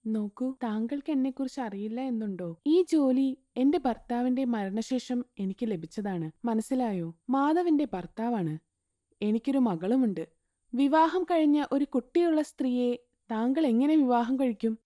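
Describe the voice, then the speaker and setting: A woman voicing an earnest young widowed mother in a storybook tale, speaking softly. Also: fairly high pitch, lively intonation, normal speed, no echo, studio quality, close to an everyday voice.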